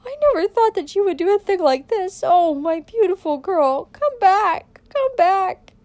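Timid older mother, high voice, anguished, pleading, wailing, audiobook character. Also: expressive, unhurried, a little mellow, no echo. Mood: grief-stricken.

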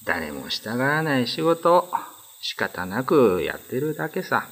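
A man's voice: medium pitch, sing-song, measured pace, a bit bright, slightly rough, heavily acted. A man playing a kind, modest young male prison guard in a radio drama, with casual, boyish, reassuring speech. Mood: modest, gentle. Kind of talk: Japanese radio drama acting.

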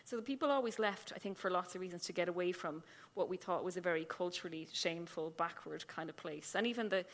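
Fast-talking Irish woman on a live panel. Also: fairly low pitch. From Ireland. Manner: animated, restarts often. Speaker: essayist on a panel. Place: small museum event room.

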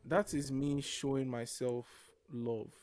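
A man's voice: mid-range voice, steady tone, slow, darkish tone, dry recording. Young Nigerian man, dry close sound. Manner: reflective, repeats and restarts words. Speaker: podcast co-host. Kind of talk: casual faith-based podcast chat.

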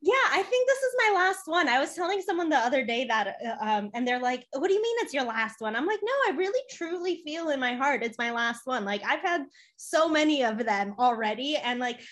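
A woman in her thirties with an American accent and a fairly high voice. She talks as a podcast guest, sharing personal stories in casual, informal language, with plenty of "like" along the way. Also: expressive, brisk, fairly bright tone. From United States, born in Poland, grew up in Chicago. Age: 30s. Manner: chatty, informal, frequent "like".

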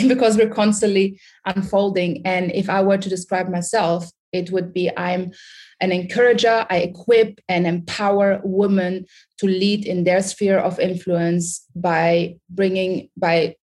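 A woman's voice: medium pitch, darkish tone. A woman from Sudan, a confidence coach, talking as a guest on a podcast in an upbeat, encouraging way and laughing often. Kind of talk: casual interview chat.

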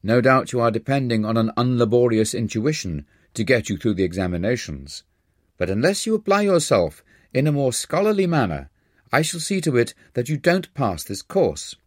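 A man's voice: mid-range voice, sing-song, moderate pace, dry recording, studio quality. A man voicing a stern, pompous male philosophy professor in an audiobook, scolding in precise, formal diction. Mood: stern, sarcastic. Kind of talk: audiobook character voice.

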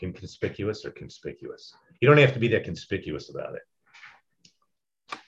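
Low deep guy going over public speaking tips, loud, laid-back and rambly, kinda muffled lo-fi audio. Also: big pitch swings, a little slow, vocal fry, a little hiss or hum. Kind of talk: casual teaching chat.

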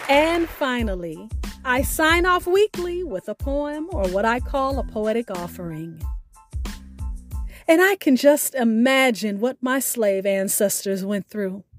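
A woman hosting a podcast, reading a scripted sign-off in a deliberate, emphatic way. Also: a little high, lively intonation, faint music behind, some chatter behind. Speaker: podcast host.

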